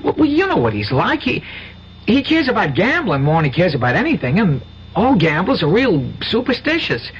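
An actor playing an earnest, hesitant country youngster in a radio drama, stammering and folksy. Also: male voice, high-pitched, wildly swooping pitch, moderate pace. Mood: earnest, worried. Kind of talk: 1970s radio drama acting. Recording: dull old radio recording, faint noise.

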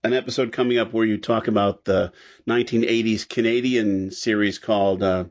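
A man's voice: fairly low pitch, fairly even intonation, brisk. A man hosting a podcast, chatting casually. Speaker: podcast host. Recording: slightly dull, lo-fi recording.

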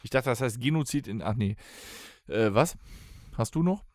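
A man's voice: medium pitch, animated, normal speed, a little mellow, no room sound. A man co-hosting a podcast in casual chat, with a dry, teasing way of talking. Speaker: podcast co-host.

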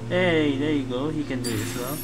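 A woman's voice: extremely low pitch, brisk, heavy background noise. A woman giving casual gameplay commentary as a streamer, reacting in short, repeated phrases. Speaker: game streamer. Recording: loud game music behind the voice. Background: video game music.

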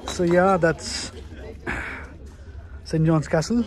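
Slow-talking enthusiastic Indian travel vlogger. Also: male voice, on the high side. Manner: chatty, enthusiastic, self-deprecating asides. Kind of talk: solo travel vlog narration. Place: outdoors on a hilltop fortress.

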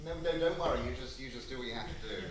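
A man's voice: medium pitch, racing. A man, an actor in a touring show, chatting casually and jokingly backstage. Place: theatre backstage and dressing rooms. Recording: echoey backstage, distant mic, loud noise.

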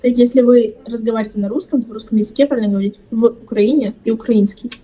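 A young woman from Ukraine talks fast over a phone video chat, her voice a little higher than average but mellow. She is firm and keeps correcting the other person. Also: fairly even intonation, slightly rough. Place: indoor room.

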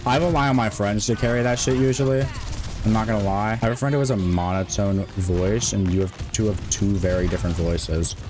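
A man in his twenties streaming a game, talking in a rambling, monotone way with many restarts. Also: on the low side, fairly quick, noisy background. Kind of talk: casual stream chat.

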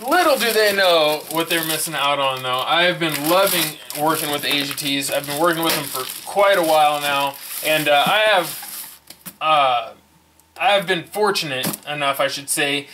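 A man's voice: a little high, normal speed, background noise. A middle-aged man in a hobby workshop, unboxing parts on a casual vlog and enthusiastically calling everything super stoked. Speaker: RC hobby vlogger. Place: indoor hobby workshop bench. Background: package crinkling and clicks.

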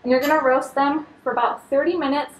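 A woman's voice: sits high, fairly even intonation, quick pace, slight background noise. A young woman giving an upbeat, instructive cooking demonstration in a large kitchen, talking as she works with food. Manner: upbeat, instructive, talks while handling food. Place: large studio kitchen indoors. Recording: echoey kitchen, mic a bit distant.

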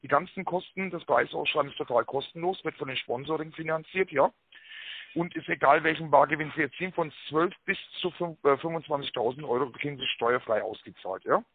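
A man giving a rehearsed telephone sales pitch, full of tag words and hesitations, with a raspy voice. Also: fairly quick, no room sound. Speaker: telemarketing caller pitching a prize draw. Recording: narrow tinny phone line.

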